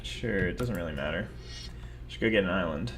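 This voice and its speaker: A man's voice: low-pitched, brisk, noisy background. A young man giving rambling, self-mocking commentary over his own card game, talking casually to viewers. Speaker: gaming video host.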